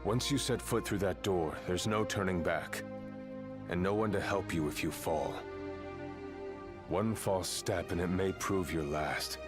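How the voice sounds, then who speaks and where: An actor playing a seasoned, middle-aged male soldier who speaks in a measured, stern tone as a mentor. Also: sits low. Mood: warning. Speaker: video game character. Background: game score music.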